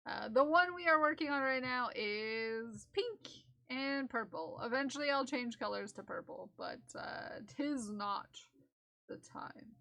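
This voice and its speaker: A woman's voice: a little high, lively intonation, a little slow, vocal fry, pushed and bright, no room sound. Giggly, rambling young woman streamer. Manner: rambling, giggly, slips into accents and sings. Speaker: livestreamer knitting for charity. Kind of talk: casual live-stream chat.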